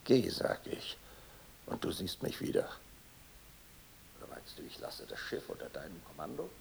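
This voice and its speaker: An actor playing a gruff, stubborn ship's captain in a radio play, gravelly and husky, giving curt orders. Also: male voice, low-pitched, sing-song, normal speed, heavy background noise, heavily acted. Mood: stern, weary. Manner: curt, barking orders, swearing. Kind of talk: radio drama acting.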